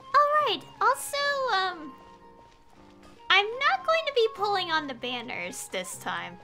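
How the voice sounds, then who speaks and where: A woman's voice: extremely high pitch, big pitch swings, takes it slowly, bright, forceful tone, soft background music. A woman game streamer chatting casually and reading dialogue aloud in a hesitant, musing way.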